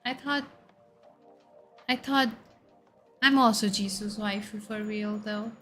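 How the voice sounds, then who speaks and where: Woman with Indian accent, streamer, chatty, slow-paced, bright tone, faint music behind. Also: mid-range voice, fairly even intonation. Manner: chatty, jumps between questions to chat, loud exclamations. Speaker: VTuber streamer. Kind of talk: casual chat reading viewer messages. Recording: faint background music under voice.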